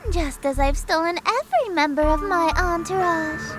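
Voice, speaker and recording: A woman's voice: very high voice, normal speed. A woman playing a haughty, theatrical eldritch goddess of chaos in a visual novel game, grandiose, imperious and melodramatic. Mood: cold, boastful. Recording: music behind the voice.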